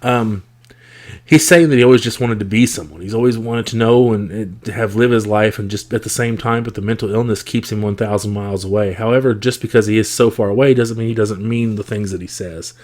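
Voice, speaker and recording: A man hosting a podcast, talking casually and thoughtfully with a rough, congested, scratchy voice from a cold. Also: fairly low pitch, steady tone, fairly quick, a little mellow. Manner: reflective, thoughtful, with frequent fillers. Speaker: podcast host. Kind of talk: casual solo podcast talk.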